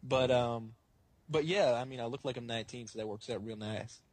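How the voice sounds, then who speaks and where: A man in his twenties hosting a podcast, chatting in a blunt, casual way with a husky voice. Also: medium pitch, a little fast, a little mellow, dry recording. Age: 20s. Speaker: podcast host. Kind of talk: casual chat.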